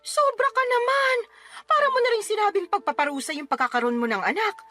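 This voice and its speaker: A woman's voice: extremely high pitch, fairly quick, sharp tone. A woman playing a stern older mother and grandmother who scolds her daughter in a radio drama, over faint music. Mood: scolding. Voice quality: scolding, dramatic swings up when outraged. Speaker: mother of the letter sender. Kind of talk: Tagalog radio drama acting. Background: faint background music.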